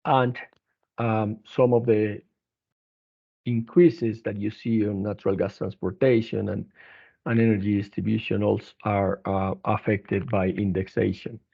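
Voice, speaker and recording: A man presenting company financial results as an executive on an earnings conference call, speaking haltingly with frequent restarts. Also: fairly low pitch, level tone, vocal fry, mellow, slightly rough. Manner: halting, hesitant delivery with repeated restarts. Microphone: telephone conference line. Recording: narrow, tinny phone-line conference call.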